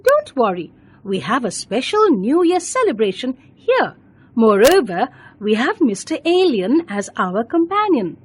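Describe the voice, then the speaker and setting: Grown-up lady astronaut, voiced by a female storyteller: gentle, reassuring, high-pitched, unhurried, for a bedtime tale. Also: sing-song, slightly rough, no echo, full character voice.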